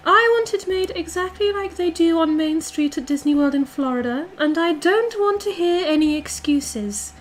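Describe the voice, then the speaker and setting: A woman's voice: very high-pitched, faint music behind. A British woman playing a bossy, condescending aristocratic girlfriend called a duchess, speaking imperiously to her livestream viewers. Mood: pompous, demanding. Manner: imperious, pompous, condescending, drawn-out emphasis. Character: haughty, bossy aristocratic dominant girlfriend calling herself Duchess. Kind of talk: in-character roleplay livestream, reading and answering chat.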